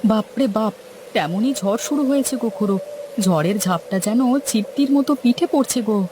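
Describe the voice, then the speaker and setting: A woman playing a young, anxious village fisher hand in a cartoon, with plaintive, folksy rural speech and a creaky voice. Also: a little high, lively intonation, brisk, dark tone, a little hiss or hum, close to an everyday voice. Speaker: cartoon voice actor. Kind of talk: dramatised Bengali cartoon story dialogue.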